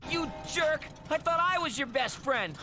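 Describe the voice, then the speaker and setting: Whiny, high-pitched dim young guy. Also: lively intonation, unhurried, bright, forceful tone, heavily acted. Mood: indignant. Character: dim, indignant young male best friend.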